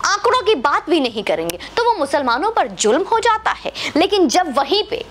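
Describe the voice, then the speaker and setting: A woman's voice: very high voice, very animated, moderate pace, a bit bright, a little hiss or hum. A woman delivering a political opinion monologue in a combative, sarcastic manner, often putting pointed rhetorical questions. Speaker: political commentator.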